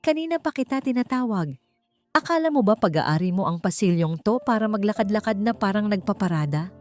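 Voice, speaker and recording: A woman voicing a shrill, scornful female housekeeping supervisor who scolds sharply in an audiobook story, with music behind. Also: animated, brisk, darkish tone, dry recording, natural voice. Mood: angry, scornful.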